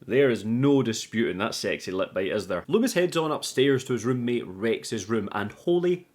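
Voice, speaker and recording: A man with a mid-pitched voice delivers a casual movie recap. He talks in a chatty, informal way, like an online reviewer walking viewers through scenes, and swears casually. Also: animated, normal speed, a little mellow.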